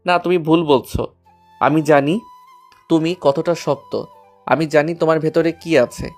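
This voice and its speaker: A man's voice: on the high side, fairly even intonation, unhurried, faint music behind. A man acting a devoted, lovestruck young man in a romantic audio story, tender, earnest and reassuring.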